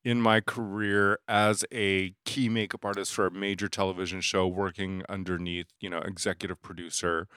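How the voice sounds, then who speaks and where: A man in his forties, a makeup artist, telling stories in a casual interview, animated and laughing often as he acts out dialogue. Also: low-pitched, moderate pace, few pauses, clear, resonant tone, no room sound, clean studio sound.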